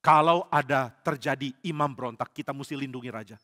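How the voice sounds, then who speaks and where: A man voicing a gruff army commander giving quiet, curt orders. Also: brisk, lightly acted. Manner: low, curt. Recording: long hall reverb.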